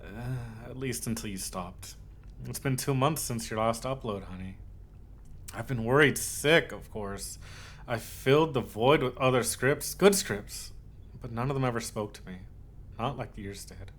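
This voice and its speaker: A man plays a soft, possessive male yandere in an intimate audio roleplay, with a mid-pitched voice. He dotes on his listener with earnest devotion, stumbling slightly over his words as his obsession shows through the tenderness. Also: lightly acted. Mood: worried, wistful. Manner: tender, doting, halting, earnest.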